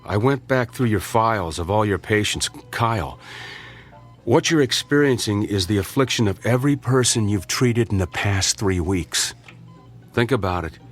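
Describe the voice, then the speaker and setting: An actor playing a sceptical, principled doctor in a radio drama, with a dry, reproachful manner. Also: male voice, on the low side, lively intonation, normal speed, faint music behind. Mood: grave, explaining. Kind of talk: theatrical radio drama acting.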